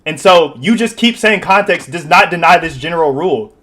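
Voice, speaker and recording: Man, slightly high pitch, loud and insistent debater on a call mic. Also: animated, a little fast. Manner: loud, insistent, repeats himself over interruptions. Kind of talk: heated online debate.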